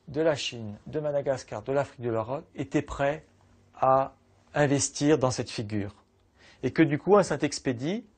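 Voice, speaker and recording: A middle-aged man gives an interview in an indoor office. His voice sits a little high, and he explains in a calm, lecturing way. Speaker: interviewed expert.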